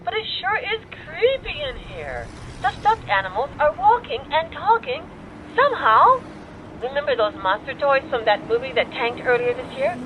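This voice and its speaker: A woman's voice: high-pitched, big pitch swings, moderate pace, fairly bright tone, heavily acted. A woman playing an earnest, uneasy store hostage in an audio drama, speaking over a telephone. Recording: muffled phone line, faint background noise.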